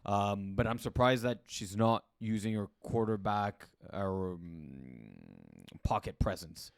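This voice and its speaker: Brief: male co-host, lower voice, hesitant casual talk, dry close mic. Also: slow, clear, resonant tone, no room sound. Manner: hesitant, lots of fillers and agreeing interjections. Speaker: podcast co-host. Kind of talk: casual sports chat.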